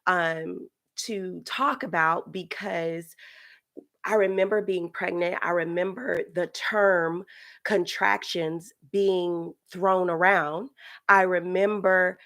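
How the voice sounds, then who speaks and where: A woman with a raspy voice from getting over a cold, giving a casual, chatty childbirth lesson with many fillers. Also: lowish voice, steady tone, slow, a bit bright. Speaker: childbirth educator. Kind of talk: casual instructional podcast talk.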